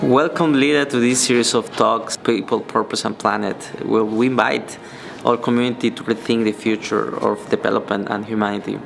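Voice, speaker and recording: A man's voice: fairly low pitch, fairly even intonation, normal speed, creaky, faint music behind. A man hosting an interview series, speaking with many fillers and repeated words. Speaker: host.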